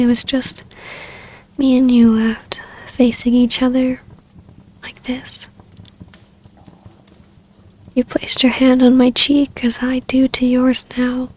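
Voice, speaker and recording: Vampire baroness female performer, ASMR romance roleplay: high, breathy, near-whispered, barely varying in pitch, yearning and courtly. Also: low-quality recording. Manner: soft, breathy, often dropping to a whisper. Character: tender, yearning vampire baroness, long-lost lover. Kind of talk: intimate ASMR roleplay acting.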